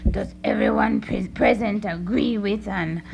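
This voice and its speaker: A woman's voice: medium pitch, measured pace, vocal fry, a little mellow, faint music behind. A woman playing an earnest, self-important animal chairing a meeting in a radio play, pompous and orderly. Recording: faintly noisy live radio recording.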